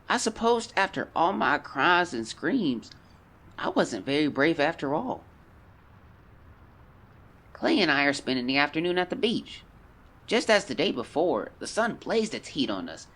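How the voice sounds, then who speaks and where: Melodramatic child diarist, 1910s adult actor; husky voice, very varied intonation, old-fashioned formal diction. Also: medium pitch, full character voice. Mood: troubled. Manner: formal, plaintive, expressive. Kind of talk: audio drama diary reading, old-fashioned diction.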